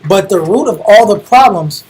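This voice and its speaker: A man with an American accent and a husky voice, teaching religion in a colloquial, emphatic way, repeating phrases for emphasis. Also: high voice, lively intonation, moderate pace, darkish tone, low-quality recording. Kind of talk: informal sermon discussion.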